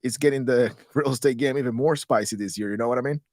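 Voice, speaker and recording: A man's voice: medium pitch, fast, a little mellow, no echo, clean studio sound. A man in his thirties from Guatemala, a guest in a podcast interview, talking in a loose, rambling way with many restarts. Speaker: guest, real estate investor.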